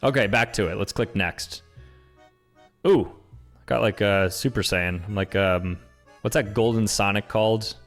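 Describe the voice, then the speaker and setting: A young man hosting a casual gaming commentary, ranting in exasperation, with his voice jumping high when he is outraged. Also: unhurried, pauses often, soft background music. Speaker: YouTube host.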